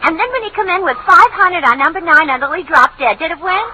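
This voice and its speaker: High-pitched, excitable chatterbox. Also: female voice, quick pace, dull audio, exaggerated voice. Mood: chatty, amazed. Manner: rambling, breathless, slangy. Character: chatty, excitable, naive female numbers-slip seller. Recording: noisy old radio recording.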